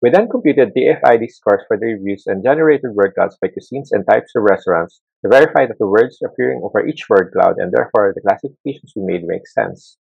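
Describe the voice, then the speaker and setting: A man presenting a data science project on a video call, reading at a measured pace. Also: on the low side, expressive, vocal fry, husky, no room sound. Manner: measured reading with small restarts. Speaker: student presenter. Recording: dull lo-fi laptop mic.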